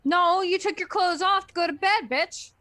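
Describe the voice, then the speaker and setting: A woman's voice: very high voice, moderate pace, pushed and bright. A woman co-commentating with casual banter, throwing in blunt, snarky interjections. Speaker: co-commentator on a book-club stream.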